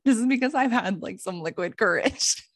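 A young woman with a Canadian accent, telling a story casually in a blunt, sweary, animated way and acting out the dialogue. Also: fairly high pitch, moderate pace, dry recording, clean studio sound.

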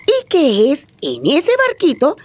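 A woman playing a Colombian grandmother telling spooky village legends to children, in a suspenseful, expressive way, for a radio play. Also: high-pitched, heavily acted. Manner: slow, suspenseful, expressive storytelling. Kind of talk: theatrical radio storytelling. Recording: music behind the voice.

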